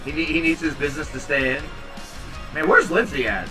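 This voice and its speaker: A man in his thirties hosting a home livestream, chatting casually with viewers in a rambling, low-key, tired way. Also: a little fast, recorded from a distance, music in the background. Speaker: livestream host reading chat. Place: small home room indoors. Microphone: headset.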